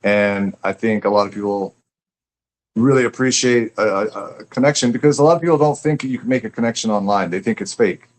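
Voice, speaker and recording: Male guest author, slightly low voice, casual reflective interview delivery, earnest. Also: fairly quick.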